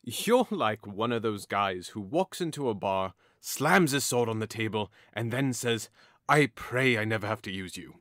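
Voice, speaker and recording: A man playing a hot-headed, mocking young nobleman, swinging pitch. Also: animated, normal speed, a bit bright, no room sound, clean studio sound. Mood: teasing, mocking. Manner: theatrical, taunting. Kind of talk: audio drama acting.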